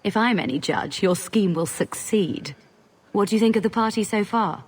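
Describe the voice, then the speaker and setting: A woman's voice: medium pitch, expressive, normal speed, slightly rough. A woman voicing a poised, gossipy society guest in a video game cutscene. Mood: amused discretion.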